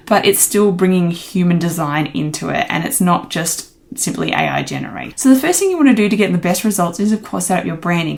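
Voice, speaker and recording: A woman talking through a design software tutorial in a casual, friendly, step-by-step way. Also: lowish voice, gravelly.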